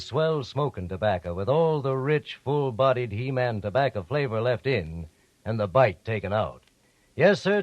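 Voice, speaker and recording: A man's voice: mid-range voice, no echo. A man reading a chummy, persuasive radio advertisement. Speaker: commercial announcer. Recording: dull, dry old radio recording.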